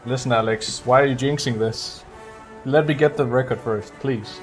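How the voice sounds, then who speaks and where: A man's voice: mid-range voice, fairly even intonation, moderate pace, soft background music. A man giving casual, chatty livestream commentary while speedrunning a game, swearing now and then. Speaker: speedrunner streaming gameplay.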